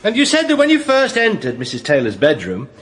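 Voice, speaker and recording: A cocksure British defence barrister in a radio drama. He is slightly husky, with theatrical swings of pitch and a sly, insistent manner. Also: huge pitch swings, a little fast, a bit bright, heavily acted. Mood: cross-examining.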